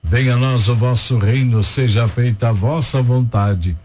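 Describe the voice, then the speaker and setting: Brazilian radio prayer host, lo-fi. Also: male voice, medium pitch, unhurried. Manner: steady, rhythmic listing of names and places. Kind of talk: reading listener intentions and leading prayers. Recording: dull lo-fi radio broadcast.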